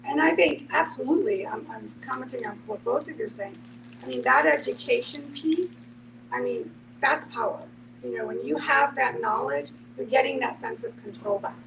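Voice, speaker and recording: A woman giving reflective, affirming commentary in an informal group discussion, explaining her points in a measured way. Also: a little high, creaky. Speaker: discussion participant. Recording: echoey, distant mic, noisy hum.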